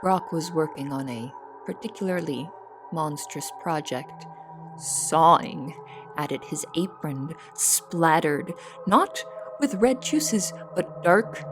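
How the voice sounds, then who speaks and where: A woman's voice: sits low, expressive, takes it slowly. Woman acting haunted young cook, music underneath. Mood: hushed dread. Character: young female cook, haunted, secretive, anxious. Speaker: narrator and lead of an audio drama. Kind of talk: scripted first-person narration, audio drama.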